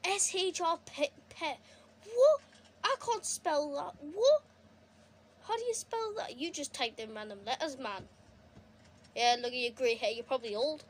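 A young woman giving casual, excitable commentary while playing a console game, her voice going a bit hoarse. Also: high voice, unhurried, slight background noise. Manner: chatty, excitable, reacting to the game. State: losing her voice. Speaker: gaming streamer. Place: indoors at a console. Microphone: phone filming a TV screen.

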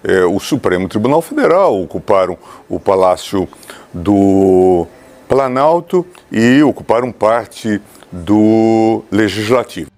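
A man with a Brazilian accent speaking hesitantly and deliberately. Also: lowish voice, animated, a little mellow. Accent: Brazilian Portuguese. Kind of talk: interview remarks.

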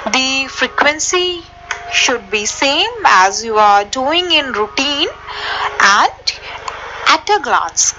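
A woman's voice: sits high, animated, takes it slowly, pushed and bright, a little hiss or hum, poor audio. A woman giving a step-by-step technical lesson as a voice-over, talking straight to her listeners. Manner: addresses listeners directly, step by step. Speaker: instructor. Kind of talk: slide-based technical lesson. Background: background music.

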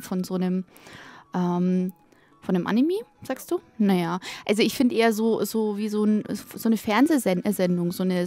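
A woman's voice: mid-range voice, mellow. A woman giving casual, unscripted commentary as a co-reviewer, hesitant and searching for her words. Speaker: co-reviewer, guest on a game review. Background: music under a voice-over.

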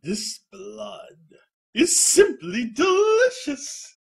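A man playing a flamboyant, bloodthirsty villain lord in a fan parody anime dub, theatrical and drawn-out. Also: very high-pitched, wildly swooping pitch, creaky, heavy background noise, heavily acted. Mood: gloating. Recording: loud music behind the voice.

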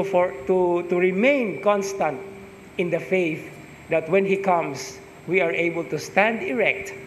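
Middle-aged Filipino priest, Cebuano accent, high tenor voice, reflective homily style with tag questions, masked at an altar mic in a reverberant church. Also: male voice, fairly bright tone. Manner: reflective, mixes English with Cebuano, tag "no?" after points. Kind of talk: homily at Mass. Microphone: stand mic in front, wearing a face mask. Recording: echoey church with long reverb, faint hiss.

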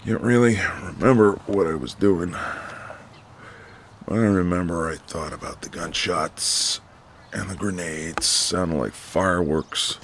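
Man acting a very deep, gravelly ex-marine neighbour. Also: a little hiss or hum. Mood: somber, reflective. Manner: slow, casual, flirtatious drawl with pauses. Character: flirtatious, easygoing retired ex-marine neighbour man. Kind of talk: intimate romantic audio roleplay, ASMR.

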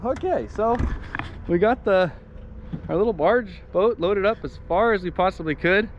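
A man's voice: sits high, moderate pace, highs cut off. A man chatting casually and informally to the camera as a vlogging builder, with folksy, unscripted phrasing. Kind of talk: casual vlog chat to camera. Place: outdoors at a dock and on the water. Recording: very noisy outdoor boat and engine noise.

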